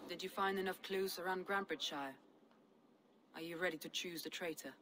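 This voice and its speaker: An actress playing a proud, composed Viking clan leader in a video game, speaking in an assured, formal way. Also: female voice, on the low side, a little fast, background noise, natural voice. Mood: firm, measured. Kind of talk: video game cutscene dialogue.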